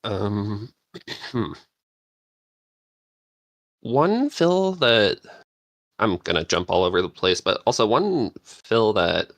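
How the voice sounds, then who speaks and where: Male podcast panelist, deep-pitched and unhurried, informal, meandering delivery. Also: animated, fairly bright tone, no echo. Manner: rambling, searching for words. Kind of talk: casual chat.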